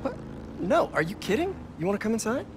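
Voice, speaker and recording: A man's voice: high voice, big pitch swings, fairly quick, noisy background. A man playing an earnest, good-natured young American in a video game, slightly awkward, talking casually like an old friend. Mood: surprised, glad. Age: young adult. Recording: music behind dialogue.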